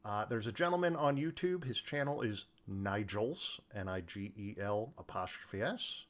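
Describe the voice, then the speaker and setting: A man's voice: fairly low pitch, expressive, moderate pace. A middle-aged man co-hosting a podcast, chatting in a joking, slangy and emphatic way. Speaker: podcast co-host. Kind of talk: casual chat.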